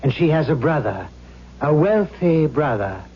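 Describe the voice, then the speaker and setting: Slow, smug scheming villain. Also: male voice, fairly high pitch, big pitch swings. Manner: sardonic, deliberate. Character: smug, scheming devilish villain, sardonic and patient. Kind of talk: old-time radio drama acting. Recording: muffled, hissy old radio drama recording.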